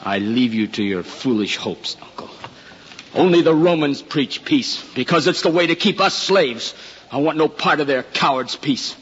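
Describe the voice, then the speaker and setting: An actor in a 1950s radio drama playing a bitter, defiant young rebel, proud and impatient. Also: male voice, fairly high pitch, lively intonation, normal speed, fairly bright tone. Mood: scornful. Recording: muffled, noisy old radio recording.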